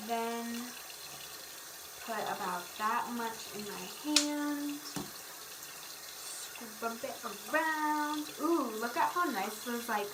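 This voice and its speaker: A young woman speaks softly in a slightly high voice, taking her time as she talks to her viewers. Her style is casual and gushing, full of "like". The home recording carries some faint noise behind her. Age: teens to young adult. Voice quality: soft voice. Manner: gushing, peppered with "like". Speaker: beauty vlogger. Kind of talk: casual chatty vlog to viewers.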